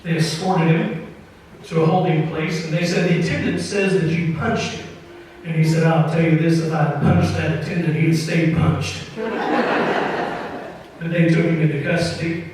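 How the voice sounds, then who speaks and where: A man telling a story live on stage for an old-time radio variety show, in a folksy, measured way with deliberate pauses. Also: on the high side, steady tone, darkish tone. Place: opera house stage with live audience. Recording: roomy, reverberant hall, distant mic, faint noise.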